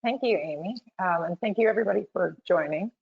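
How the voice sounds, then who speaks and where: Hoarse woman presenting over a tinny line. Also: lowish voice, quick pace. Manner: loose and chatty, with many fillers. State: hoarse, losing her voice. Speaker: webinar presenter. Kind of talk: conversational technical presentation. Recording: tinny phone-like webinar audio.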